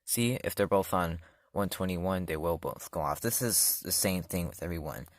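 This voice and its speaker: A man's voice: sits low, normal speed, slight background noise. A man casually demoing a product, talking loosely with many fillers and self-corrections. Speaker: product reviewer. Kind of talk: casual hands-on demo.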